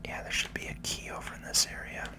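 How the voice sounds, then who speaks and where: Whispering ASMR gaming host. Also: quick pace. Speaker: host, gaming video creator. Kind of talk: whispered ASMR gameplay commentary.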